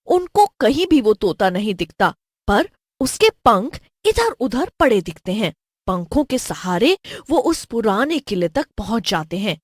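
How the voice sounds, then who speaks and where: Female cartoon horror narrator: animated intonation, measured pace, scripted storytelling in a dry studio sound. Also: a little high, slightly rough, no echo.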